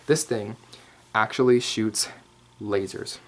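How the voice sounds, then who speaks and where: A man's voice: on the low side, takes it slowly, a little hiss or hum. A man doing an improvised, casual ASMR roleplay show-and-tell, rambling with jokey asides and swearing. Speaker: ASMR roleplay host showing props.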